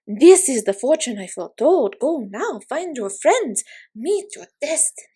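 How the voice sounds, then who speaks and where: A woman's voice: high-pitched, sing-song, unhurried, dark tone, slightly rough, heavily acted. A woman voicing a mysterious carnival fortune teller in a roleplay audio, theatrical and grandly insistent, with formal, portentous phrasing. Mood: portentous, mystical.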